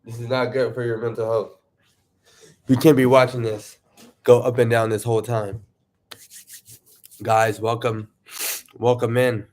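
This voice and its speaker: Man, lowish voice, sniffly, casual livestream chatter, rambling, excitable. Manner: rambling, excitable, talks to viewers. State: sniffly from allergies. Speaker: YouTuber livestreaming.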